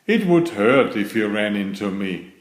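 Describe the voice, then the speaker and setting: A man voicing a cheerful, literal-minded housekeeper woman in a children's storybook, in a bright, wondering, sing-song way. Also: fairly low pitch, sing-song, measured pace, voice pushed down. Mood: chirpy. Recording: roomy echo.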